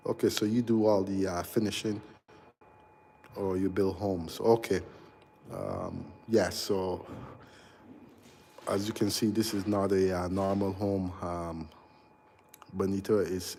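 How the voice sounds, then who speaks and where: A man in his forties, an electrical contractor hosting a casual live stream as he walks through a big unfinished house, answering viewers in a halting, chatty way full of ums and uhs. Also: deep voice, darkish tone, slight background noise. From Barbados. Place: large unfinished house under construction, indoors.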